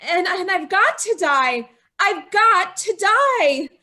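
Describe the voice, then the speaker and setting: An actress playing a young bride driven to murder, speaking with breathless anguish in an old-time radio drama. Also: female voice, extremely high pitch, expressive, slow pace, pushed and bright, exaggerated voice. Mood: desperate.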